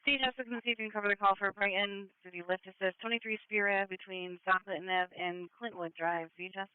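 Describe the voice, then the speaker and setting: High voiced guy dispatcher, talks fast, thin scanner radio sound. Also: steady tone, smooth. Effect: two-way radio. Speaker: fire and ambulance dispatcher. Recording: narrow, tinny scanner radio.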